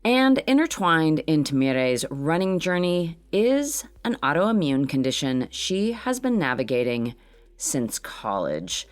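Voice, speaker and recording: Low-voiced woman hosting a podcast, lively. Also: a little slow. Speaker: podcast host and producer. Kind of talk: scripted podcast introduction.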